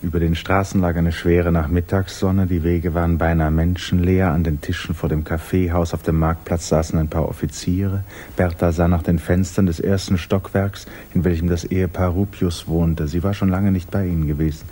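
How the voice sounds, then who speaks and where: An actor narrating a 1960s radio play in a measured, literary manner. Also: male voice, low-pitched, level tone, dark tone. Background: music.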